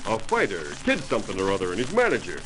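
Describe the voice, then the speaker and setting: An actor playing an obliging radio operator from a lonely airfield, folksy and deferential, in an old-time radio drama. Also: male voice, on the low side, huge pitch swings, fast, fairly bright tone. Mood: reporting, obliging. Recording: slightly noisy old radio broadcast.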